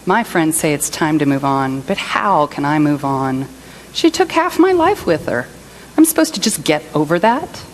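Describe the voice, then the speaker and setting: Woman acting a wounded, low-voiced jilted partner. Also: sing-song, moderate pace, a little hiss or hum, low-quality recording. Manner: hurt, indignant, emphatic. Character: wounded, self-pitying woman abandoned by her partner. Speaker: reader in a church service skit. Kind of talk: dramatic monologue reading.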